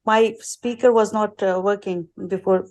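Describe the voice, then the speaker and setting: Female attendee on a video call; eager, hesitant, mid pitch. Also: fairly even intonation, unhurried, darkish tone. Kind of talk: casual chat.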